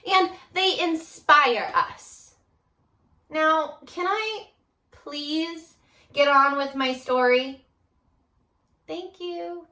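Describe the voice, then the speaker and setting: An exasperated little boy from a storybook, acted out by a woman, high and bright in a roomy space. He exclaims theatrically and stretches out his dismay over every mess. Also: full character voice. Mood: indignant pleading. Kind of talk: animated picture-book read-aloud.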